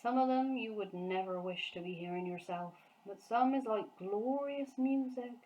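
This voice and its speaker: A woman's voice: mid-range voice, normal speed, dark tone, lo-fi sound, pitched above the performer's own voice, close to an everyday voice. A woman voicing a big, friendly male giant from a children's storybook, earnest and emphatic, full of childlike wonder, speaking in quaint muddled grammar. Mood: excited wonder. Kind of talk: acted children's storybook dialogue.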